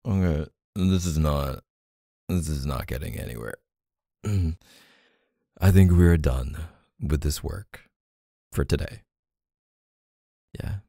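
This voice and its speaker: Man voicing a playful doting boyfriend, ASMR roleplay, dark mellow soft voice, teasing murmurs, close dry sound. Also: no room sound, exaggerated voice. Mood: flustered, teasing. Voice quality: low, gravelly, soft. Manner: teasing, murmuring, hesitant pauses and hums. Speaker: audio roleplay performer.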